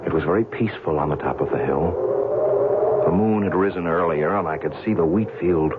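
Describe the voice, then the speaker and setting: A man's voice: sits low, a little fast, natural voice. A man playing a skeptical, weary, wry scientific investigator in an old-time radio drama, speaking colloquially and hesitantly. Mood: reflective narration. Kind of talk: old-time radio drama acting with first-person narration. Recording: noisy, muffled old radio show recording.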